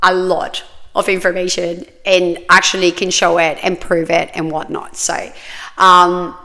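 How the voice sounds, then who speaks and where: A woman's voice: fairly low pitch, level tone, unhurried, vocal fry, sharp tone. A woman, chatting casually and telling her own life story with plenty of fillers. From Australia. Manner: chatty, many fillers, laughs often.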